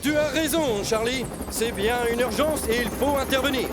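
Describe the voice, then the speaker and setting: A man voicing a brisk, dutiful rescue helicopter in a children's cartoon. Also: very high voice, music in the background. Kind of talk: children's animated series dub.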